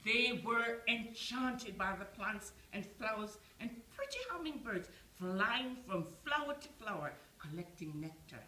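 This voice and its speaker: A woman in her seventies telling a bedtime story on stage in an expressive, measured storytelling manner. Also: a little high. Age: 70s. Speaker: storyteller in an amateur pantomime. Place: small theatre stage. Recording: roomy theatre echo with faint noise.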